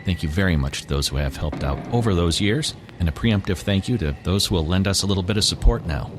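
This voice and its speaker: A man's voice: deep voice, lively intonation, quick pace, background noise. A man hosting an old-time radio program, reading a scripted podcast introduction.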